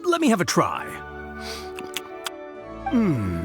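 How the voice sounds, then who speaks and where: A jovial older man who speaks slowly and savors his words. His pitch swoops widely, and he comes across as courteous, formal and effusive. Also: huge pitch swings, over music, full character voice. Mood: appreciative. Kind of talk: English video game voice acting.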